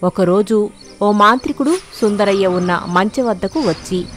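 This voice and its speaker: A woman's voice: mid-range voice, moderate pace, soft background music. A woman narrator reading a scripted moral tale in storybook style.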